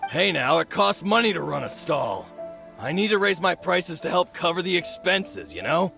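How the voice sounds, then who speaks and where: A gravelly stall vendor. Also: male voice, high-pitched, big pitch swings, normal speed, pushed and bright, faint music behind, heavily acted. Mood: gruff. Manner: defensive, gruff. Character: gravelly male stall vendor defending his prices. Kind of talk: game dialogue.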